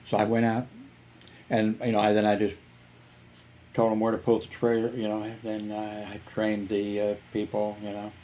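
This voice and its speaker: A man's voice: lowish voice, monotone, unhurried, pauses often, mellow, a little hiss or hum, poor audio. A man telling personal stories in a rambling vlog, filling gaps with "you know" and chuckling. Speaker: YouTuber. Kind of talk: casual storytelling vlog.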